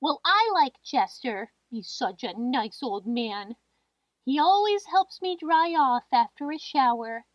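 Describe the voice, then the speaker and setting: A woman's voice: high-pitched, lively intonation, measured pace, no room sound, far from the mic, muffled recording. A woman playing a naive, cheerful, ditzy girlish sidekick, bubbly and guileless, in a comedy radio show.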